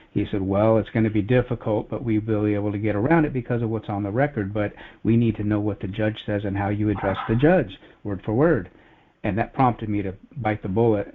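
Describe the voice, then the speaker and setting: Guy on a phone call, earnest, kinda rambling, really narrow phone sound. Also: fairly low pitch. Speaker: conference call participant. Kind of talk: personal account of a court case, casual. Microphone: telephone conference call. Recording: phone-like conference call audio.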